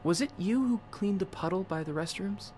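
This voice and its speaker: A man's voice: high-pitched, animated, moderate pace, darkish tone, a little hiss or hum. A man voicing a friendly, joking young hotel worker, easily flustered, in a narrative video game. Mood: breezy, weary. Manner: informal, joking.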